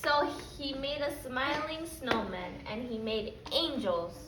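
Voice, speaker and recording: A woman with a high, bright voice speaks slowly, as a preschool teacher does with little children. Her phrasing is simple and repetitive. She is recorded in an echoing classroom. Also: expressive. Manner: prompting with simple repeated questions and phrases. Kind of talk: teaching young children, question-led lesson and picture book read-aloud. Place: indoor classroom. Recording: roomy, distant mic, faint background noise.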